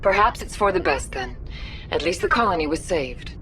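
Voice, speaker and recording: Diplomatic lady councilor, lowish, formal and composed, game dialogue, slight noise behind. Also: sing-song. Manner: measured, formal. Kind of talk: video game dialogue acting.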